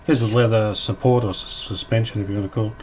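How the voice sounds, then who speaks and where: Male restorer, lowish voice, casual how-to commentary, tentative thinking-aloud delivery. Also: fairly even intonation, quick pace, a little mellow, slight background noise, poor audio. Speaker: radio restorer presenting a repair series.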